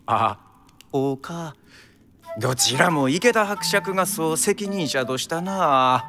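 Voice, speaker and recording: An actor playing a courteous, wry official with a Kyoto accent in a radio drama. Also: male voice, fairly high pitch, lively intonation. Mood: polite, wry. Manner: polite, teasing, unhurried. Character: courteous, wry male official in Taisho Tokyo. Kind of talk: Japanese radio drama acting. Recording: clear music behind the voice.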